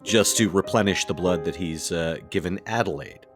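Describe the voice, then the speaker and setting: A man's voice: low-pitched, normal speed, over music. A man playing a tabletop roleplaying game, describing his character's actions in a conversational way.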